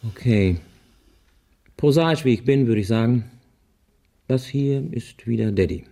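An actor playing a wry, analytical husband in a radio crime drama, reasoning aloud in a deliberate, musing way. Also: male voice, mid-range voice, expressive, creaky, warm tone. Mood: wry, grave. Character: wry analytical husband puzzling out a child's grisly drawings.

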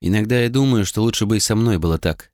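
A man's voice: lowish voice, fast, darkish tone, no room sound, clean studio sound, natural voice. A man voicing a bitter, betrayed young noblewoman in an audiobook, talking in an earnest, rueful way. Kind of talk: audiobook character reading.

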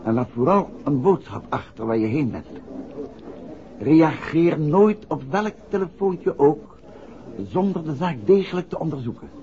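A dull, noisy old radio recording carries a composed gentleman detective. He is courteous and astute, a man with a lively, moving pitch who chooses his words politely in true sixties radio-play style. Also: measured pace. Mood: calm, cautioning. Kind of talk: 1960s Dutch radio drama acting.

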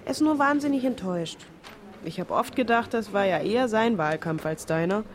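An actress playing a supportive, soothing young adult daughter in intimate radio drama dialogue. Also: female voice, mid-range voice, animated, brisk, a little hiss or hum, lightly acted. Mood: tender, soothing.

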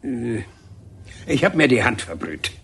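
An actor playing a gruff, stubborn but kindly painter in a radio drama, speaking in terse, muttering phrases. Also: male voice, mid-range voice, very animated, measured pace, fairly bright tone, full character voice. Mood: evasive, irritable. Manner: terse, muttering, hesitant interjections. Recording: background noise, scene ambience. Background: ambient noise.

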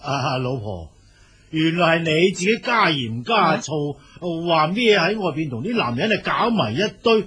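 A man's voice: on the high side, very animated, moderate pace, a bit bright, husky, heavily acted. A man playing a blundering, easily embarrassed husband who repeats his words, in a Hong Kong Cantonese radio drama. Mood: puzzled, accusing.

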